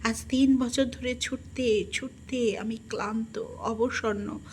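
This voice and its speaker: A woman reads a first-person literary story in an expressive, melancholic and dramatic manner. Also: a little high, slow, slight background noise. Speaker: audiobook narrator.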